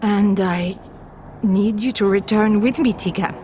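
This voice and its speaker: An actress playing an anxious, guarded noblewoman in a fantasy video game, speaking formally and haltingly with urgency. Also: medium pitch, mellow, slight background noise, close to an everyday voice. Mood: urgent. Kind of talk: dramatic video game acting.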